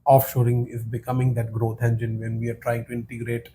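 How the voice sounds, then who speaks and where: A man hosts the interview in a mellow, monotone voice. He talks steadily, dotting his questions with you-knows and yeahs. The recording has faint noise behind it. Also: medium pitch, fairly quick. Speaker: podcast host. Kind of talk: conversational podcast interview.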